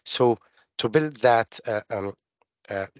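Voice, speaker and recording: A middle-aged man from Jordan, giving a technical webinar presentation over a video call, halting with many fillers and restarts. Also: mid-range voice, no echo, muffled recording. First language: Arabic. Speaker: webinar presenter on open finance.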